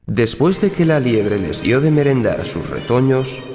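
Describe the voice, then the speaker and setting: Male storybook narrator, scripted read, mid-pitched, reverberant sound over soft music. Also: very animated, normal speed. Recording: echoing narration with long reverb, faint music.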